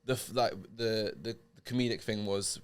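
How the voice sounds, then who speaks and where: A man's voice: on the low side, steady tone, moderate pace, clean tone. A man in his thirties, a podcast guest chatting casually and rambling with lots of fillers. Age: 30s. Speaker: podcast guest, model and actor. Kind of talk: casual chat.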